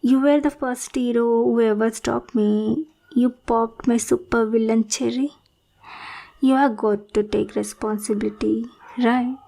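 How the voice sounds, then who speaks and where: A woman's voice: fairly high pitch, steady tone, a little slow, creaky, mellow, low-quality recording. A woman playing a lovesick, needy supervillain in a roleplay monologue, theatrical and pleading, self-pitying and possessive, sometimes dropping into whispers. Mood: coy, possessive. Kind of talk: scripted roleplay monologue addressed to the listener.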